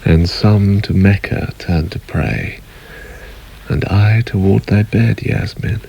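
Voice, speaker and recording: An actor playing a patient, gently teasing husband in a radio drama, languid and wry. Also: male voice, fairly low pitch, takes it slowly, creaky, slight background noise, poor audio. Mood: sleepy, teasing.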